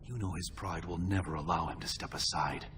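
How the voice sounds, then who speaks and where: An actor playing a scheming younger dwarf prince in a fantasy game, speaking in a measured, insinuating way. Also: male voice, low voice, steady tone, fairly bright tone, slight background noise. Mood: conspiratorial. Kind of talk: fantasy game cutscene acting. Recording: clear background music.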